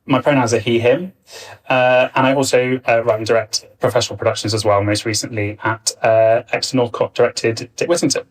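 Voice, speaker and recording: A man's voice: medium pitch, fairly even intonation, fairly quick. A man aged thirty chairing a live panel discussion, introducing guests and putting questions to them. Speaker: panel chair, writer and director. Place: arts centre theatre, live panel. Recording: distant, varying-quality backup live recording.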